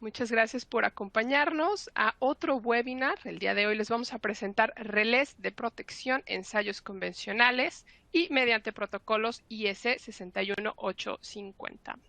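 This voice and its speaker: Woman, hoarse and gravelly, bright edgy tone, dry close sound, friendly webinar host. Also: on the high side, moderate pace, few pauses, dry recording. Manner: friendly, explanatory, filler-heavy. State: hoarse from illness. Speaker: marketing presenter.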